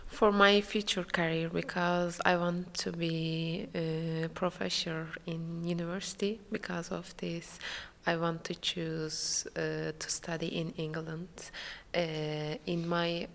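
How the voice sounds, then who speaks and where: Slow, gravelly young woman from Turkey. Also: lowish voice, level tone, a bit bright. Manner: hesitant non-native English with many fillers. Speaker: international student interviewee. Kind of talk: casual interview answers. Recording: hall reverb with faint ambience.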